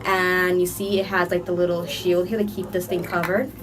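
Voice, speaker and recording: A woman's voice: on the low side, flat delivery, moderate pace, background noise. A young woman doing a casual makeup tutorial, chatty and rambling with lots of "like", a little under the weather with a cold. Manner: chatty and rambling, heavy use of "like". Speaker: beauty vlogger. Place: indoor room under hot filming lights. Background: background music.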